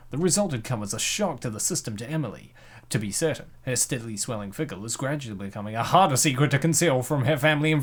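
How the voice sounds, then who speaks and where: A man narrating a comic dub with playful, slangy joking asides. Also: mid-range voice.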